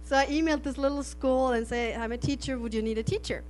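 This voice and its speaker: Middle-aged austrian woman, german native, telling her mission story, slightly high voice, faint hum behind. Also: fast, darkish tone, poor audio. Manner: earnest, laughs often, restarts phrases. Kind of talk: testimony to an audience.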